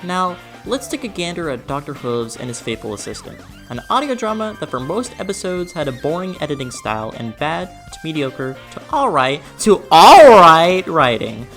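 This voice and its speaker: A person delivering a scripted, opinionated video essay in an expressive, emphatic way with conversational asides. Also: mid-range voice, normal speed, few pauses. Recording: music behind the voice. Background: background music.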